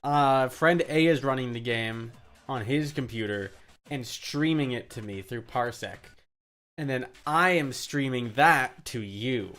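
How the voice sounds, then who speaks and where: A man with a medium-pitched voice talks casually on a live game stream. He repeats exclamations and phrases as he reacts, and faint game music plays behind him. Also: unhurried, fairly bright tone. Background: video game music.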